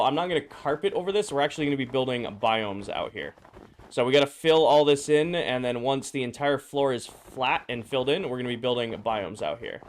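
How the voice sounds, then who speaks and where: A man streaming a game, his voice slightly hoarse and drowsy, casually greeting viewers and answering chat questions. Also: medium pitch. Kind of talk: casual live-stream chat while playing.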